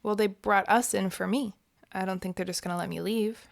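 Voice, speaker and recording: A woman's voice: mid-range voice, steady tone, a little fast, creaky, no room sound, studio quality, lightly acted. A woman acting a worried, self-blaming character in an audio drama, speaking in a hesitant, subdued way. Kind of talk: naturalistic audio drama dialogue.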